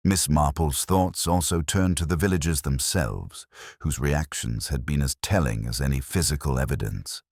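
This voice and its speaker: A man narrating a literary mystery story in a measured, formal storytelling manner. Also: very deep voice, darkish tone, no room sound, studio quality. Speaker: audiobook narrator.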